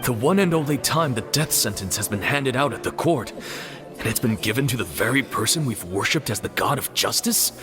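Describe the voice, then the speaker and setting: An actor playing an astonished spectator at a trial in a video game, speaking dramatically. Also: male voice, mid-range voice, expressive, brisk, over music, close to an everyday voice. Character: astonished male courtroom spectator.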